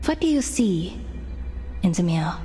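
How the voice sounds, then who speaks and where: A woman playing an enigmatic leader of a secret society in a video game, measured and commanding. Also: on the low side, big pitch swings. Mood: composed, inviting. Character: composed, enigmatic woman leading a secret circle. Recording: loud music behind the voice.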